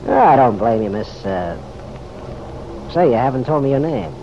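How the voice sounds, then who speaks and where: Through a muffled, noisy old radio recording comes a wry American police detective. His pitch moves freely as he needles and probes in easygoing, slangy radio drama style. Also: very animated, moderate pace, creaky. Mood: probing.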